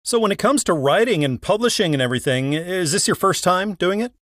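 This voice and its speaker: A man's voice: high voice, lively intonation, brisk, fairly bright tone, no echo, studio quality. A man hosting an interview show, moving between scripted introductions and questions to guests. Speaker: podcast host and interviewer.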